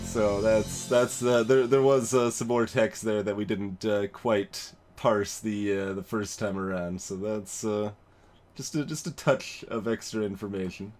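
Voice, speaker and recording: A man doing casual live game commentary as a streamer, thinking aloud in a halting, wry way. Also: on the low side, steady tone, a little mellow. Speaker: let's play streamer. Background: game music.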